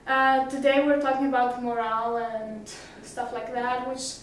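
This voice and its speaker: High-voiced teenage girl, echoey room. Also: little pitch movement, a little slow, slight background noise. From Greece. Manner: hesitant, many fillers and restarts. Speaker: summer school student. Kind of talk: casual interview answers. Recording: roomy echo, mic at a distance.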